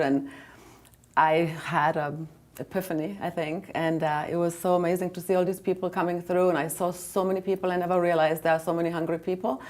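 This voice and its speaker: A woman with a Romanian accent speaks softly and haltingly, pausing often with "uh" and "um" as she recounts her story. Her voice sits a little low for a woman. She is a guest on a studio interview. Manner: soft-spoken, hesitant with many fillers. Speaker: nonprofit founder, guest.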